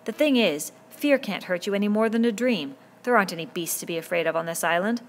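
A woman's voice: mid-range voice, fast, slight background noise, lightly acted. A woman reading a novel aloud, voicing a scornful, bullying boy who leads the hunters and sneers at the younger ones. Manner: sneering, dismissive.

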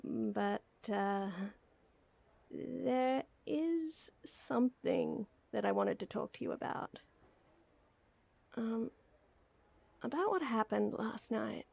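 A woman's voice: a little high, gravelly, a little mellow, dry recording, heavily acted. A woman playing a flustered, lovestruck young woman in an intimate audio roleplay, hesitant and teasing. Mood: nervous, earnest.

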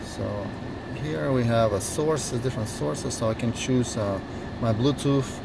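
A man in his forties with a Russian accent, casually showing off a car in a vlog, enthusiastic and halting with many fillers, filmed on a phone at arm's length inside a parked car. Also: mid-range voice, vocal fry, very noisy. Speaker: car reviewer. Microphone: phone camera at arm's length.

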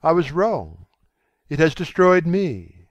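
A man voicing a vain, bitter, despairing young gentleman in a theatrical reading of a classic novel. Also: fairly high pitch, sing-song, slow, no echo, muffled recording, voice pushed up.

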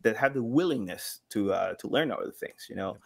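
Man in his thirties, halting conversational talker. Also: lowish voice, vocal fry, husky. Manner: halting, searching for words, animated quoted asides. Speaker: guest, sound designer and community engagement director at a game studio. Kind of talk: casual podcast interview. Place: small home studio room, indoors. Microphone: microphone on boom arm.

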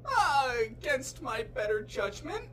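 A nervous, hesitant male fighter from a cartoon parody, played by a woman. The voice is bright and jumps around in pitch as he dodges the point. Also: sing-song, slow pace, slight background noise, exaggerated voice.

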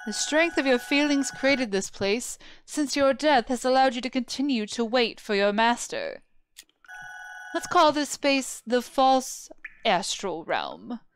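A woman's voice: fairly high pitch, sing-song, unhurried, smooth, no echo. A woman playing a proud, obsessive dead homunculus researcher in a video game, with a formal, theatrical, lecturing manner. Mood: cool, lecturing. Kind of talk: scripted video game voice acting. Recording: music behind the voice.

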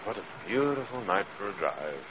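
An actor playing a cheerful, self-assured gentleman sleuth, affectionate and suave, in a 1940s radio drama. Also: fairly low pitch, sing-song, brisk. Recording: muffled, faintly noisy old radio.

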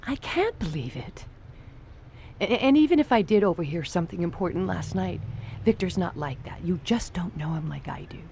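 Low, gravelly, wistful torch singer. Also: female voice, expressive, moderate pace, a little mellow, a little hiss or hum. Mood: earnest, defiant. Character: world-weary female nightclub torch singer, wistful and stubborn. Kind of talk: noir radio drama acting.

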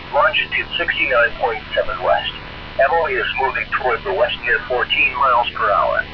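Male weather radio announcer, noisy narrowband signal. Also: medium pitch. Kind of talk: scripted weather bulletin reading. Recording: noisy band-limited weather radio broadcast.

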